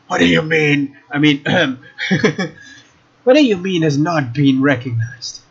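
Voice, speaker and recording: A man's voice: a little high, slow, vocal fry, husky. A young man doing a let's play, reading a visual novel aloud and adding casual commentary. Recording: dull lo-fi mic, faint noise.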